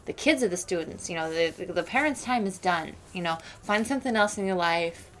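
A woman's voice: lowish voice, animated, fairly quick, a bit bright, slight background noise, low-quality recording. A woman playing a snobbish, judgmental wealthy school mother in an improvised radio play, rambling on with boastful opinions. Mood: condescending.

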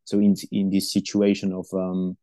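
A man's voice: sits low, completely flat delivery, moderate pace, mellow, no echo. A middle-aged man who is not a native English speaker, answering interview questions about supporting university students, with many fillers and restarts.

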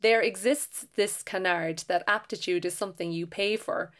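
A woman reads an essay aloud with sardonic bite. Her pitch is ordinary and her delivery is measured. She lingers on long, clever words. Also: fairly even intonation, a bit bright. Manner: sardonic, wordy.

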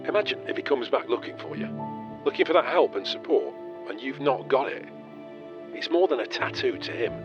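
A blunt but supportive friend played by a male actor gives plain, insistent advice in a radio play. His mid-pitched voice has the dulled sound of a phone call, with music clearly behind it. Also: expressive, brisk, close to an everyday voice. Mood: bluntly reassuring. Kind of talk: radio drama dialogue. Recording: dulled, band-limited, phone call scene.

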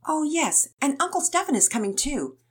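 A woman's voice: high-pitched, big pitch swings, normal speed, voice pushed up. A woman voicing an easygoing, affectionate father who explains things kindly in a children's story. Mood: cheerful, explaining. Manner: kindly, explaining, gently chiding.

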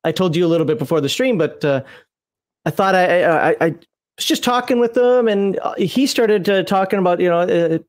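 A man with a slightly raised pitch chats loosely on a live stream. He rambles, fills gaps with "uh" and "you know," and laughs often, his voice a bit worn from days of talking. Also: expressive, brisk. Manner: rambling, laughing, many fillers. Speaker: streamer co-host. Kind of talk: casual live-stream chat.

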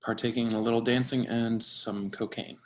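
A man acting a dry, by-the-book surveillance agent and mentor in a screenplay reading, with a measured, instructive delivery. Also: mid-range voice, flat delivery, close to an everyday voice, low-quality recording.

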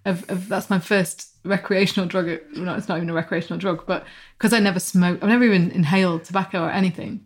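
A woman's voice: lowish voice, fast. A woman, co-hosting a casual chat and telling a personal story with plenty of laughter. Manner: animated, laughs often while telling the story.

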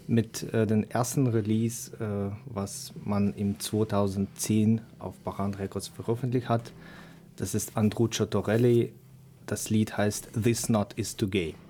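A man's voice: fairly low pitch, warm tone, slight background noise. A man from Ukraine, a guest on a radio show, telling about his small label in a hesitant way with many filler sounds. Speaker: guest, indie label owner. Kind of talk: casual radio interview. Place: radio studio.

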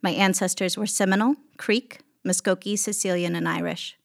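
A woman from Alaska speaking reflectively as an interview guest, in deliberate, measured phrases. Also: medium pitch, fairly even intonation, creaky. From Alaska, United States. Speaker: podcast guest, executive director of an arts venue.

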